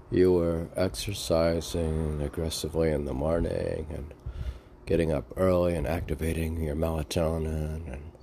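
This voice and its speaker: Deep mellow guy reading poems n rambling about them, halting, answers himself, bit of noise behind. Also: gravelly. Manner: halting, musing, answers own questions. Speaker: reader and commentator of mystic poetry. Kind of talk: reading poems aloud with rambling informal commentary.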